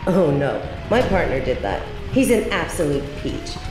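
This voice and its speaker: A woman's voice: sits low, big pitch swings, normal speed, echoey room, rough recording. A woman playing a smug, bored sorceress turned journalist in an audio drama, with a sardonic, condescending way of talking. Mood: smug, dismissive. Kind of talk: audio roleplay drama acting.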